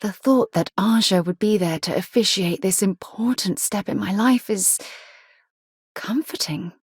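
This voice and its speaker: A woman's voice: medium pitch, unhurried, slightly rough, no room sound, studio quality. A woman playing an earnest, principled space explorer in a video game, speaking articulately and with emphasis. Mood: tender. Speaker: video game companion character. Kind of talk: scripted video game voice acting.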